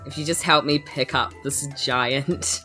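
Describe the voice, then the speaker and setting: A woman's voice: a bass voice, steady tone, measured pace, bright, forceful tone. A woman with a New Zealand accent chatting casually as she improvises her part as a player in a tabletop game. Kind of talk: casual improvised role-play chat. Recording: music playing behind. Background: background music.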